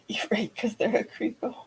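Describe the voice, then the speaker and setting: A woman's voice: measured pace, low-quality recording. A woman joining a casual chat, mostly agreeing and chiming in with short replies. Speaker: co-host or guest in the chat.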